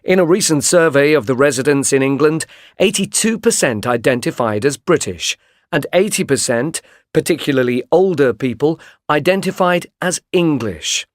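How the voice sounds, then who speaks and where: Brief: male narrator, steady and informative, slightly high voice, dry studio sound. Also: normal speed, no echo. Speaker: narrator of a course recording. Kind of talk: scripted narration.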